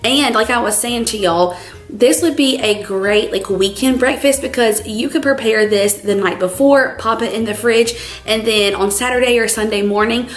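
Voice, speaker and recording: A young woman with a cold-roughened, scratchy voice, chatting brightly through a home cooking tutorial. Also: on the high side, moderate pace, soft background music. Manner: chatty, bubbly, peppered with "like". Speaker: cooking vlogger. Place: home kitchen.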